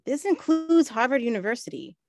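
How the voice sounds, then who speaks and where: An actress playing an earnest, educated woman who explains things in a measured way in a 1920s radio play. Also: on the high side, very animated, music in the background. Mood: grave.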